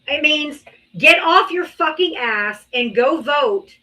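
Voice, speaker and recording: Woman host, live-stream debate, bright and a touch high, blunt and profane with callers. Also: lively intonation, slow, rough recording.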